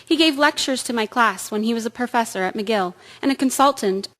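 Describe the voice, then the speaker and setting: A woman's voice: on the high side, brisk, fairly bright tone, long reverb tail, close to an everyday voice. A woman playing an earnest, dutiful young wartime nursing sister in a radio drama, polite in manner.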